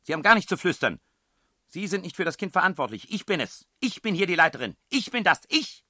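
A man's voice: medium pitch, fairly quick, pushed and bright, no room sound, clean studio sound, pitched above the performer's own voice. A man voicing a domineering, furious woman in charge of a children's home, barking clipped commands in an audiobook story. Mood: commanding rage.

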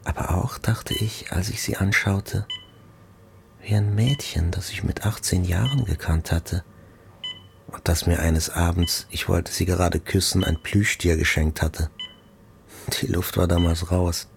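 An actor playing the inward voice of a wounded, brooding journalist, in intimate literary narration. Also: male voice, low voice, steady tone, unhurried, creaky, noisy background. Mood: intimate, remembering. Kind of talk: scripted literary narration, radio play.

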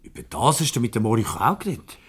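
An actor playing a rueful, flustered husband in a Swiss German radio comedy from the 1970s, grumbling, defensive and hesitant. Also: male voice, medium pitch, very animated, quick pace, slightly rough, full character voice. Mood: uneasy. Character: rueful, flustered husband Peter in marital crisis.

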